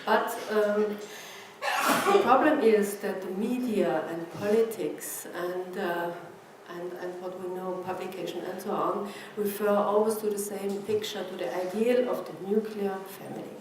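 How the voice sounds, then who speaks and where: A woman's voice: mid-range voice, fairly even intonation, a little mellow. Echoey, distant Austrian woman lecturing. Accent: Austrian German. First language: German. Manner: halting, searching for words. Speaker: academic researcher, speaker. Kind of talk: conference lecture in non-native English. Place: presentation room with an audience. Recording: echoey room, distant mic, noisy background.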